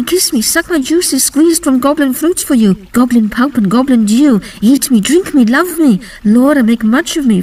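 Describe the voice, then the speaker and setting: A woman's voice: sits high, a little mellow, distant mic, low-quality recording. A woman playing an earnest young maiden in a gothic fairy-tale poem, speaking dramatic, emphatic verse.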